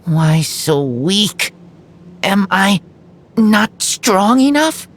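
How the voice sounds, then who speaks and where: A woman playing a troubled youngster in a video game, speaking in terse, halting broken sentences. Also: medium pitch, sing-song, exaggerated voice.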